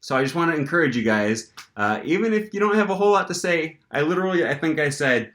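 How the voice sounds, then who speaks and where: A man's voice: a little high, quick pace. Young man telling a story to camera. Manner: animated, lots of fillers and restarts. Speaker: language-learning vlogger.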